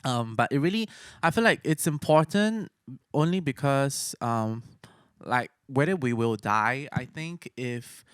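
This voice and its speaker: A man's voice: medium pitch, expressive, measured pace, a bit bright, smooth, dry recording, clean studio sound. A man with a Singaporean accent talking casually as a musician on a podcast, hesitant and thoughtful, often trailing off between phrases.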